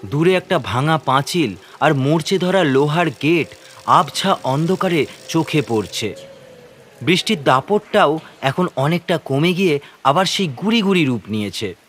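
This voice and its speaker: A man's voice: fairly high pitch, expressive, a little slow, a bit bright, slight background noise. A man narrating a first-person horror story in a suspenseful, theatrical manner.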